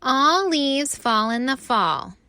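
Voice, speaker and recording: Woman voicing loud, smug, taunting autumn leaves. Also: sits high, sing-song, clear, resonant tone, voice pushed up, heavily acted. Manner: loud, drawn-out, mocking.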